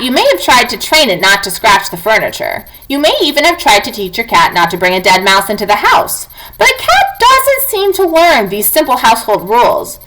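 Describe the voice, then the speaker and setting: Bright, animated woman reading aloud to pupils. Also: on the high side, low-quality recording. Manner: clear enunciation, instructive, addresses young pupils directly. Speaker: teacher reading aloud to students. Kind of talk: expressive children's non-fiction read-aloud.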